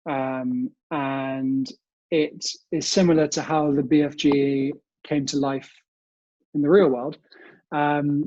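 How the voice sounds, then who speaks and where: A man's voice: a little high, warm tone, no echo, dull audio. A young British man speaking politely as an interview guest, telling family memories in a conversational way. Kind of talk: conversational interview answers, reminiscing. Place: remote recording from home.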